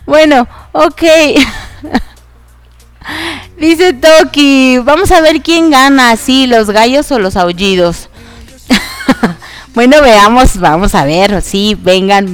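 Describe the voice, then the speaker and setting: A woman's voice: fairly high pitch, smooth. A woman hosting an online radio show, nasal and congested from allergies, chatting casually with many fillers and giggles. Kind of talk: casual radio chat about K-dramas.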